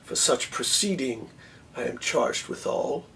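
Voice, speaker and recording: A man playing a proud, dignified general in a theatrical Shakespeare monologue, speaking in a measured, stately way with long pauses and hushed phrase endings. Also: mid-range voice, animated, vocal fry, recorded from a distance, rough recording. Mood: measured, defiant.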